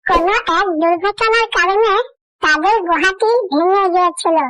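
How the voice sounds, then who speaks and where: A woman narrates a children's fairy tale from a script. Her voice is extremely high and bright, almost childlike in pitch, with a very dry sound. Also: moderate pace, no room sound. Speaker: storyteller.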